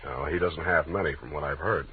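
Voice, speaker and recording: An actor playing a wry, hard-boiled private detective with an offhand, halting delivery in 1940s radio style. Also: male voice, rumbling low. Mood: sardonic. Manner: wry, offhand, punctuated with uhs. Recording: narrow-band, noisy 1940s radio recording.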